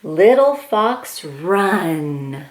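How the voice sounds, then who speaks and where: A woman reading a picture book aloud to young children as a host, with excited, encouraging exclamations. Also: on the low side, extremely slow, background noise.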